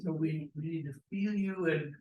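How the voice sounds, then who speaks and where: A man's voice: a little high, fairly even intonation, moderate pace, darkish tone, lo-fi sound. A man reading out stage directions at an informal script table read. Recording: echoey room, distant mic.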